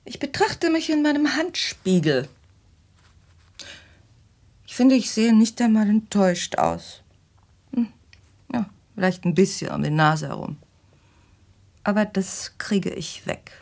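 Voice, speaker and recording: A middle-aged mother in a radio drama, genteel and easily wounded. Her pitch swings widely and her voice has a slightly husky edge. She speaks theatrically, shifting between coy hesitation and agitation. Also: lively intonation, slow pace, pauses often, a little mellow. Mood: musing, wistful. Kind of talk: German radio play acting.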